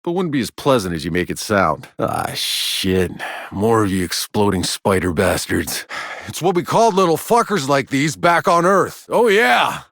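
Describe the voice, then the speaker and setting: A man's voice: mid-range voice, big pitch swings, normal speed, creaky, a bit bright, dry recording. A man playing a cynical, tough soldier in a sci-fi video game, with a gritty voice and dry wisecracks. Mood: dry, sardonic. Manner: sardonic, swearing, dry wisecracks. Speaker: video game player character voice. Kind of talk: scripted video game voice lines and combat barks.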